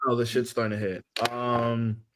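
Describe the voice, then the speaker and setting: A man moderating a casual podcast chat, asking questions in a hesitant, halting way. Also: mid-range voice, little pitch movement.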